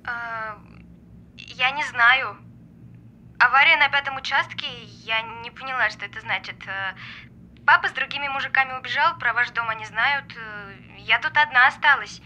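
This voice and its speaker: A woman's voice: normal speed, bright, forceful tone. An actress playing a timid, confused girl left alone at an emergency dispatch desk, speaking hesitantly in an audio film. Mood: timid, flustered. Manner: hesitant, unsure. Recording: noisy telephone or intercom, rumble.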